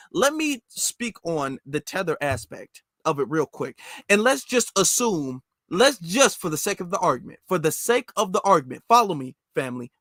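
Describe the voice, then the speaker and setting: High-voiced American man, emphatic commentator. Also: a bit bright, no echo, studio quality. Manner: emphatic, repetitive, rhetorical questions. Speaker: commentary show host. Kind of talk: impassioned political commentary to viewers.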